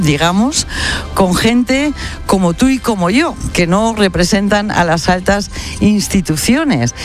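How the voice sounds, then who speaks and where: High-voiced male radio reporter on location. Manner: brisk, conversational, tag questions. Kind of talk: live radio field report. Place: outdoors at a city event.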